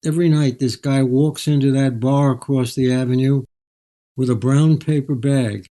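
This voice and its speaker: An older man speaking as an author, reflective and articulate, with measured, literary phrasing. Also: medium pitch, steady tone, warm tone. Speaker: author, interview guest. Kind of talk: reflective storytelling, reading and interview.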